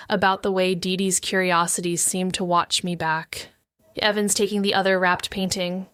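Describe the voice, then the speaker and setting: A woman's voice: medium pitch, fairly bright tone, clear, resonant tone, no echo, lightly acted. Sardonic, brooding woman sleuth, played by an actress. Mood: uneasy. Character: wry, bitter, paranoid woman amateur sleuth watching surveillance screens. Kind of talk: first-person noir audio drama narration.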